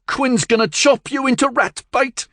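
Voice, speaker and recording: A man voicing a young male street ganger who yells in shrill panic and defiance. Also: fairly even intonation, takes it slowly, no room sound, studio quality, full character voice. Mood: panicked yelling. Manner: shrill yelling.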